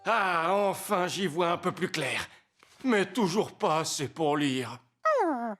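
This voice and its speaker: A querulous squinting cartoon tenant. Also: male voice, high-pitched, animated, slow pace, fairly bright tone, exaggerated voice. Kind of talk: cartoon dub acting. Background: music.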